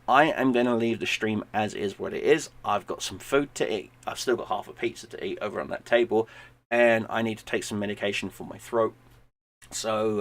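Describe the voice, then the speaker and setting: A man, hoarse and losing his voice, chatting loosely to viewers at home at the end of a stream, rambling and repeating words. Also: lowish voice, a bit bright. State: losing his voice, sinus infection. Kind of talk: casual stream sign-off chat.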